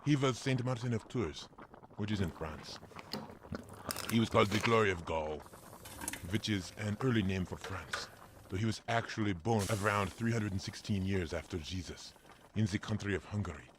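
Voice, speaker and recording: An actor playing a kindly, patient German village priest in a family audio drama, gentle and measured in manner. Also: male voice, fairly low pitch, background noise, lightly acted. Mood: warmly explaining. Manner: gentle, measured, formal, explanatory.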